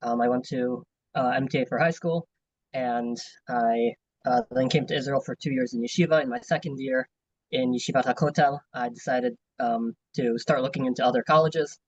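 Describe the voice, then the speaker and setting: A man's voice: mid-range voice, steady tone, moderate pace, no room sound, far from the mic, dull audio. A man in his twenties from New Jersey, introducing himself as a student panelist in a casual way with many fillers, over a video call. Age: 20s.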